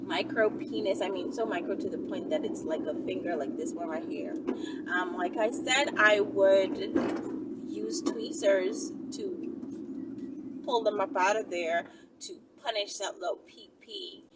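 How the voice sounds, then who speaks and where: A woman talking casually and candidly to viewers as a question and answer host, with playful, drawn-out emphasis on words. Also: medium pitch. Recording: distant mic with loud traffic and vehicle noise. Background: traffic noise through an open window.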